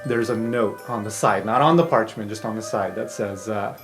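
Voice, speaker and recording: A man's voice: lowish voice, moderate pace, reverberant. A man narrating an improvised tabletop game as game master in a casual, colloquial way. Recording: music bed under voice.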